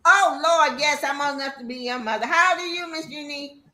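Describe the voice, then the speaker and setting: A woman's voice: sits high, fast talker, bright, forceful tone. A woman in her sixties chatting casually on a live stream, teasing and motherly, blunt in her opinions. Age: 60s. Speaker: guest.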